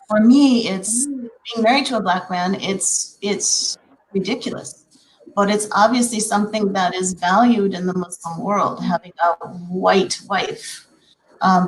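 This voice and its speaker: A woman's voice: medium pitch, low-quality recording. A woman in her forties from Minnesota with a Minnesotan accent, an educator speaking earnestly on a panel with frequent ums and restarts. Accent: Minnesotan American. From Minnesota, USA. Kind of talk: conversational panel discussion.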